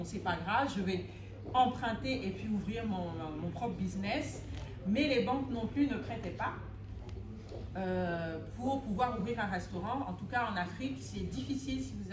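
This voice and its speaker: A woman in her late thirties from Gabon telling her life story in an interview, often pausing to search for words. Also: mid-range voice. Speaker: interview guest, chef. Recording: echoey room, clear background noise.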